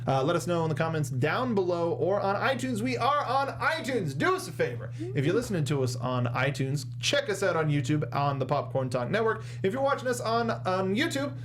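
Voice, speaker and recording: A young man co-hosting a show in casual chat, jokey and a bit halting, talking close to a studio mic. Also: sits high, sing-song, fairly bright tone, slight background noise. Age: young adult. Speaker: co-host of a movie discussion show. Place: small indoor podcast studio. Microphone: close desk microphone with red foam cover.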